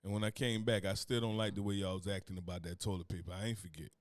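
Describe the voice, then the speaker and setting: A man's voice: sits low, fairly even intonation, quick pace, no echo. Laid-back, profane man rambling on a podcast. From Newark, New Jersey. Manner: loose, profane, rambling with laughs. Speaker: podcast co-host. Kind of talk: casual chat.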